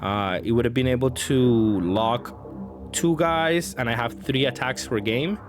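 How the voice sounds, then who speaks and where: A man's voice: mid-range voice, a little slow. Man thinking aloud over background music. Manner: hesitant thinking aloud, trailing off. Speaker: card game player commentating his matches. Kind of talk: casual improvised gameplay commentary.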